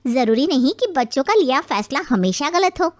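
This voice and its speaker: A woman playing a very high-voiced, reasonable friend. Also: big pitch swings, normal speed, dry recording. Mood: persuasive. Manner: persuasive, reassuring. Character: reasonable, broad-minded middle-aged woman friend. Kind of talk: acted moral story dialogue.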